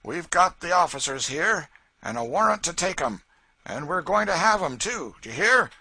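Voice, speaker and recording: A man reading aloud, voicing a brash, coarse slave catcher who blusters loudly. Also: fairly high pitch, big pitch swings, normal speed, no echo, pitched above the performer's own voice, full character voice. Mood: blustering. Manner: loud, blustering, coarse frontier speech.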